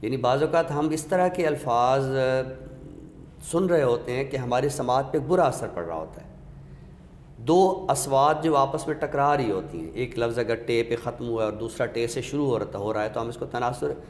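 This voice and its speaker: A man with a Pakistani accent giving a deliberate, didactic explanation in a literary discussion, pausing for emphasis. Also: mid-range voice, lively intonation, a little mellow. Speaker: literary scholar and guest speaker. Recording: echoey reverberant room with background noise.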